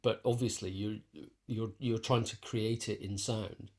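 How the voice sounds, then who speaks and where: A man speaking as an interviewed musician in a reflective conversation, thinking aloud haltingly and rephrasing as he goes. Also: fairly low pitch, steady tone, darkish tone. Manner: thoughtful, halting, self-correcting.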